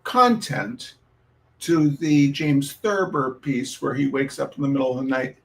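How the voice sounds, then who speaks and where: A man hosting a reading night, telling personal stories informally in a wry, deliberate way with pauses and repeated phrases. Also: medium pitch, gravelly, fairly bright tone. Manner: deliberate, halting, with dramatic pauses and repetition. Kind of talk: informal spoken introduction with personal storytelling.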